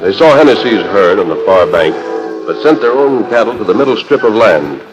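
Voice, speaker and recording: A man's voice: lowish voice, sing-song, a little fast, muffled recording, music in the background. A man narrating a Western adventure as announcer, in the scripted style of 1940s radio. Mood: measured. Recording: 1940s radio transcription disc.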